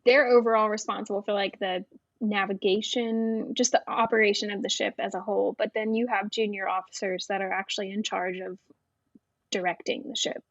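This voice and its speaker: A woman's voice: medium pitch, level tone, fairly quick, no echo, muffled recording. A woman, a Navy veteran, chatting frankly and casually as a podcast guest, with many fillers. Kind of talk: casual interview chat.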